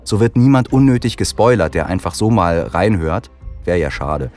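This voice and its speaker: A man's voice: low-pitched, lively intonation, normal speed, darkish tone, faint music behind. A man hosting a casual podcast chat, explaining things in a friendly way while he has a cold. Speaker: podcast host.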